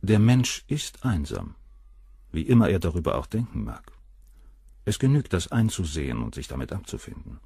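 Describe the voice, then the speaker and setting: A man's voice: deep voice, big pitch swings, normal speed, vocal fry, dark tone, husky, no echo, studio quality, exaggerated voice. An actor in a German crime radio play playing a cold, cynical middle-aged surgeon, detached and coolly superior as he answers questions. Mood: detached, explanatory.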